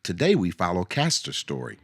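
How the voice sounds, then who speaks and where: A man narrating a scripted radio drama as a storyteller, in a measured, dramatic way. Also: deep voice, sing-song, creaky.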